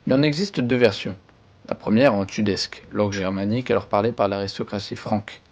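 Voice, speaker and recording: A man's voice: fairly low pitch, a little fast, a little mellow. A man giving a scripted, formal educational narration as a history presenter. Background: background music.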